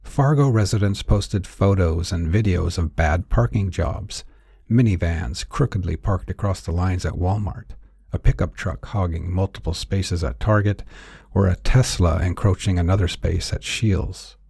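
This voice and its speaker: A man narrates a dark story in a low, mellow voice. His delivery is measured and wry, with a theatrical edge, and the recording is close and dry. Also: gravelly, husky, no room sound. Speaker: narrator and author. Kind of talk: scripted fiction narration, noir storytelling.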